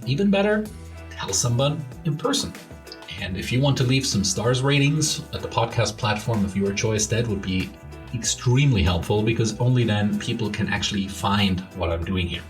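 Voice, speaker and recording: A man's voice: lowish voice, expressive, normal speed, faint music behind. Earnest man hosting a podcast. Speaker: podcast host. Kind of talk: scripted podcast intro and interview.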